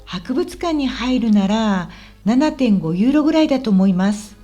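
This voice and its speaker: Lady acting as a friendly helpful local guide in a learner radio drama, high lilting voice, super clear and polite. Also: expressive, normal speed, dark tone, highs cut off, faint music behind. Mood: explaining. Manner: polite, explanatory, clearly enunciated. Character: friendly, helpful woman guiding a visitor around Osaka. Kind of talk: scripted language-lesson radio drama.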